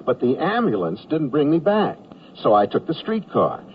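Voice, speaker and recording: A man's voice: on the high side, huge pitch swings, normal speed. An actor playing a mild, hapless army draftee who tells his own story in an old radio comedy. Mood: flustered, earnest. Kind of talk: first-person radio narration and comedy acting. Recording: noisy, muffled, distant vintage radio recording.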